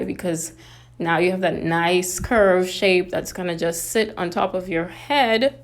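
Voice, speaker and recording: Woman, Bahamian accent, lowish pitch, casual demonstration style, chatty step-by-step instruction. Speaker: craft tutorial host.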